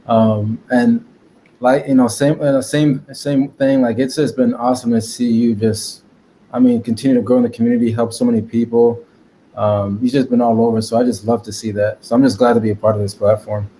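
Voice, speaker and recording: A man's voice: mid-range voice, flat delivery, a little fast, warm tone, a little hiss or hum, low-quality recording. A man with an American accent, telling his story casually as a podcast guest. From Connecticut, United States. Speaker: podcast guest, cybersecurity professional.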